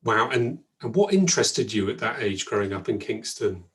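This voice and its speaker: A man's voice: on the low side, lively intonation, normal speed, fairly bright tone. A man hosting an online event, chatty and thankful, with frequent ums and restarts. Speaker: event host.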